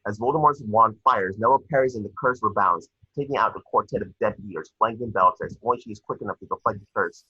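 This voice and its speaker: A man narrates screenplay action in a lowish, husky voice with a forward, bright edge. He reads stage directions as at an amateur table read and trips over the odd word. The recording is slightly dull and homemade. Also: fast, few pauses. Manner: stumbles over words while reading.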